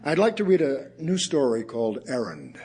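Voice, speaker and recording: An actor playing a weary, wry male writer, reciting poems and prose as a measured, literary storyteller in an audio play. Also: medium pitch, huge pitch swings, darkish tone, husky. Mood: measured storytelling.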